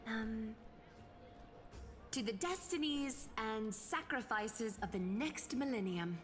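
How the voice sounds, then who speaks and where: A woman's voice: fairly high pitch, expressive, slow. A woman voicing a poised, dry, aloof young noblewoman in a video game, composed and formal. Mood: composed, wry. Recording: long reverb on game dialogue, music behind.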